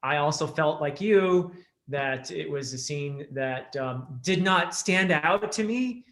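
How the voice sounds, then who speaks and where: Man with a slightly high voice, reflective. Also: expressive, slow, fairly bright tone. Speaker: guest on a book discussion. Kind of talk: casual reflective discussion.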